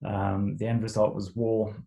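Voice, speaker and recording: Middle-aged Zimbabwe-born man, Shona and English from childhood, gravelly interview guest, slow and halting with many fillers. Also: fairly low pitch, flat delivery, mellow. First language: Shona alongside English. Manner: hesitant, many fillers and restarts. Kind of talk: interview storytelling.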